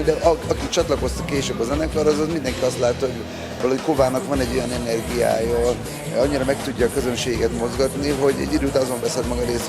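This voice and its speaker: A middle-aged man giving casual interview answers in a modest way, often correcting himself as he goes. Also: mid-range voice. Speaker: band musician, interviewee. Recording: loud music behind, outdoor festival. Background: loud music, vehicle noise.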